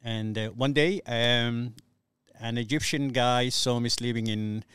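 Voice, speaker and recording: A man's voice: medium pitch, fairly even intonation, unhurried, fairly bright tone, clean tone, dry recording. A middle-aged man from Somalia, an English speaker, telling his story casually and hesitantly as an interview guest. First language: not English. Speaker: interview guest, youth community worker.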